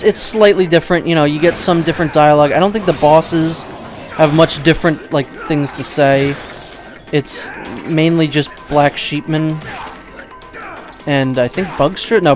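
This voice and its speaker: A man's voice: on the high side, fairly even intonation, moderate pace. Rambling man doing casual game commentary over music. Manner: rambling, second-guesses himself aloud; casual swearing. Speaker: let's play host.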